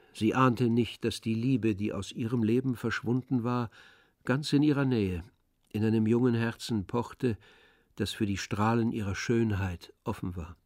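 A man giving scripted literary narration in a radio drama, in a measured, composed manner. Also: medium pitch, a little mellow, no echo, studio quality.

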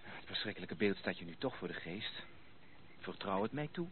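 An actor playing a courteous, earnest young gentleman in a Dutch radio play, formal and politely insistent. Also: sits low, expressive, normal speed. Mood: earnest, coaxing. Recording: noisy, thin phone-like old radio recording.

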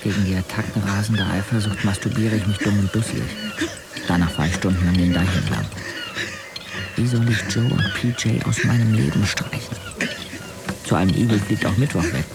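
A man's voice: fairly low pitch, a little fast, very noisy, lightly acted. An actor playing an intense, brooding young man who narrates his inner life tersely in a radio drama. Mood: bitter, restless. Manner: terse, introspective, literary. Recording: loud music under the voice.

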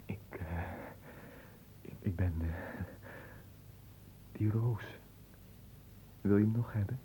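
A man playing a solemn, smitten nobleman in a radio play, speaking earnestly and haltingly. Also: lowish voice, mellow, slight background noise, rough recording. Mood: hesitant, smitten. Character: solemn, hesitant young nobleman in love. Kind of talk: theatrical radio play acting.

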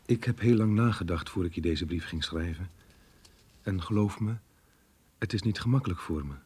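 An actor playing an apologetic married lover voicing his farewell letter in a radio play, husky, grave and measured. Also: deep voice, darkish tone. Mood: grave, pleading.

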